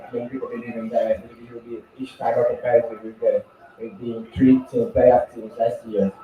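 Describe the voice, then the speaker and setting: A man from Brazil, a reporter asking a rambling question. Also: medium pitch. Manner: rambling, searching for words. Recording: tinny, echoey, distant sound.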